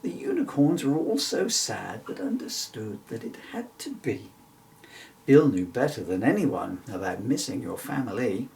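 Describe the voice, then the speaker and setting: A middle-aged man narrates a rhyming storybook with theatrical flair. His pitch swings widely and has a creaky grain. He sounds slightly distant from the microphone, with faint noise behind. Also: a little slow. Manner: animated, theatrical. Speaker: children's author reading their own story-poem.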